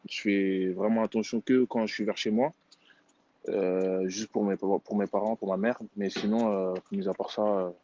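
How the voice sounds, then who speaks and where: A man's voice: on the low side, steady tone, normal speed, warm tone. Casual student in his twenties, dull audio. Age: 20s. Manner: casual, trailing off, many fillers. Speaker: student worker. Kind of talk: personal testimony.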